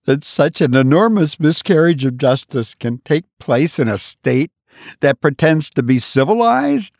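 A frantic middle-aged wife whose raised voice swings wildly between pleading and fury, as a male narrator puts her on. She sounds high and strained, and the reading is dry and close, with no room around it. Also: sing-song, a little slow, creaky, dry recording, full character voice. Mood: desperate. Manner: pleading, shrill outbursts. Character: distraught middle-aged wife, vengeful and desperate. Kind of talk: audiobook character voice.

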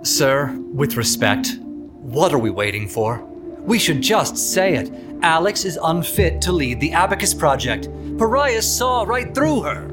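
A man's voice: a little high, very animated, a little slow, over music. An actor playing a stern, accusing male board member in an audio drama, with a cutting, demanding manner.

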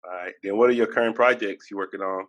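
A man interviewing a singer in a relaxed, slangy, conversational way. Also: lowish voice, fast talker. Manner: laid-back, slangy, conversational. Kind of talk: casual interview.

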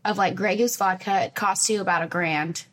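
A young woman co-hosting a podcast, chatty and quick to agree. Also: medium pitch, fairly even intonation, fairly bright tone. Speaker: podcast co-host. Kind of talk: casual chat.

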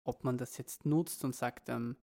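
Gravelly man musing on parenting. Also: mid-range voice, fairly even intonation, measured pace, a little mellow. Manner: reflective, rambling, many restarts. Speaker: podcast co-host. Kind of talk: casual parenting chat.

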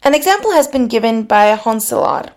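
A woman's voice: on the high side, lively intonation. Woman teaching grammar. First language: Serbian. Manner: measured, explanatory, switches into Slavic example phrases. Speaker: podcast host teaching linguistics. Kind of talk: scripted explanatory lesson.

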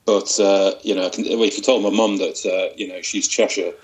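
A man's voice: low-pitched, steady tone, fairly quick. A middle-aged man from Widnes, reminiscing as a guest with lots of restarts and small chuckles. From Widnes, North West England. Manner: hesitant, many restarts, chuckles. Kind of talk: casual reminiscing interview.

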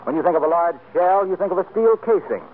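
A man's voice: high-pitched, lively intonation, quick pace, narrow, tinny audio. A man announcing from a script in the style of 1940s radio. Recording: noisy, thin 1940s radio broadcast.

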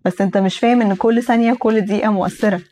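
A woman's voice: mid-range voice, steady tone, rapid-fire, warm tone. A young adult Egyptian woman co-hosting a podcast, speaking reflectively and sometimes restarting her words. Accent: Egyptian Arabic. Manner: reflective, restarts words when searching for them. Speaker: podcast co-host. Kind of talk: casual podcast conversation.

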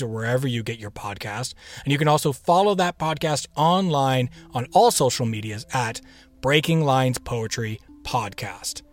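A man's voice: mid-range voice, no echo. A man hosting a podcast in a casual, chatty way, playfully asking and answering his own questions. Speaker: podcast host.

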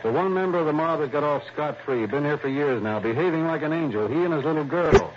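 An American actor playing a gruff, suspicious but protective police lieutenant in a 1960s radio drama, insistent and familiar. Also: male voice, a little high, lively intonation, quick pace, close to an everyday voice. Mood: suspicious, earnest. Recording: dull, hissy old radio recording.

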